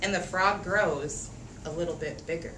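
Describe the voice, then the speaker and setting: A woman teacher reading a picture book aloud to young children, expressive and rhythmic, drawing out phrases and asking them questions. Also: fairly low pitch, moderate pace. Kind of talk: interactive storybook read-aloud. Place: echoey room with a group of children. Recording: echoey room, distant mic, faint noise.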